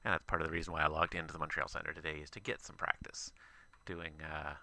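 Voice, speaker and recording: A man's voice: rumbling low, quick pace. A man working as a virtual air traffic controller, giving radio calls in hesitant non-native French with many "euh" fillers, then chatting casually with viewers on a headset mic. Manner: crisp, loud controller calls, then quieter relaxed chat.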